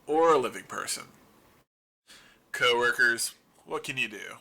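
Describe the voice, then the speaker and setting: A man's voice: mid-range voice, animated, unhurried, creaky, sharp tone, full character voice. A man playing a wry survivor of a zombie apocalypse in an audio drama, rambling to his listeners in a casual, sarcastic, self-deprecating radio monologue. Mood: sardonic.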